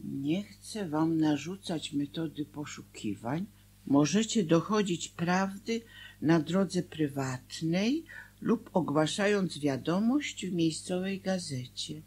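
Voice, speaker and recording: An actress in a radio drama playing an anxious, pious, loving middle-aged wife with a breathy voice. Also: female voice, sits low, slow, full character voice. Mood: careful reading. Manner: expressive, agitated. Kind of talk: Polish radio drama acting.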